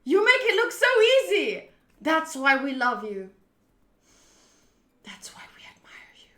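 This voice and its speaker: Bright high-voiced playful woman streamer. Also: unhurried, reverberant. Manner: playful, animated, jokey. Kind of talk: casual livestream reaction.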